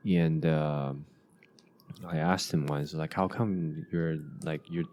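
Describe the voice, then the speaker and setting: Low-voiced halting man. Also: fairly even intonation, a little mellow, a little hiss or hum. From Asia. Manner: halting, many restarts and fillers. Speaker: podcast host. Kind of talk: casual conversation.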